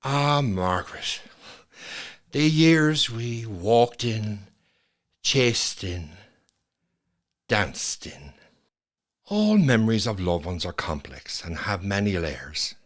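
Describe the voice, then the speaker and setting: A man's voice: fairly low pitch, big pitch swings. An actor playing a lonely, nostalgic old Irish man in a reflective, lyrical stage monologue. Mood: tender longing. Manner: wistful, lyrical, measured with lingering pauses. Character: lonely, nostalgic old widower, quietly ruminating. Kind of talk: dramatic monologue, scripted first-person storytelling.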